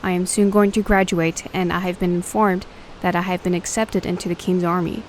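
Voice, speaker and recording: A woman's voice: lowish voice, steady tone, brisk, slight background noise, natural voice, rough recording. Woman playing earnest young army cadet. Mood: warm, earnest. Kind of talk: audio drama acting.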